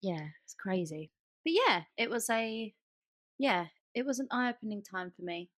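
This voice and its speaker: A woman's voice: mid-range voice, a little slow, no room sound. Chatty English woman, close dry sound. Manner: animated, chatty storytelling with acted-out quotes. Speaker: podcast co-host. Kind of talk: casual chat.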